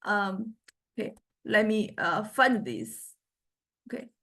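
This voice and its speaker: A woman giving an informal lecture in a hesitant, halting way, often repeating and restarting her words. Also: medium pitch, expressive, gravelly, a bit bright.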